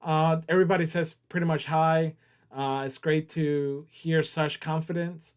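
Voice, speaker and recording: A man's voice: a little high, flat delivery, measured pace, clear, resonant tone. A man hosting a casual livestream, joking around and reading viewer chat aloud. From Southern US. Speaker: livestream host.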